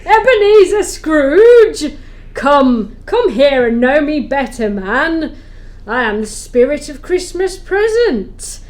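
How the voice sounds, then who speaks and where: A woman's voice: high-pitched, sing-song, slow, darkish tone, full character voice. A woman acting as a commanding Christmas spirit in an amateur audio play, theatrical and grand. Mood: jovial, grand. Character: commanding Christmas spirit, jovial and booming, unyielding.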